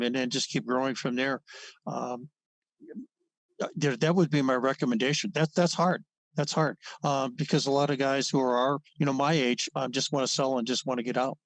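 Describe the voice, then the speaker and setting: A man's voice: medium pitch, dry recording, low-quality recording. A man in his seventies, a dentist guest, talking in a casual, rambling way with many fillers and restarts. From Ohio. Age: 70s. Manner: chuckles often. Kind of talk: casual interview conversation.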